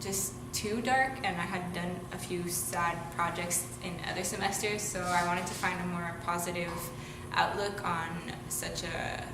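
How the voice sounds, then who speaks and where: A young woman student answers thoughtfully and pauses to find her words. Her voice sits slightly low. The room is echoey, with loud ambient noise behind her. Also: fairly even intonation. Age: young adult. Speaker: media production student. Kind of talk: documentary interview.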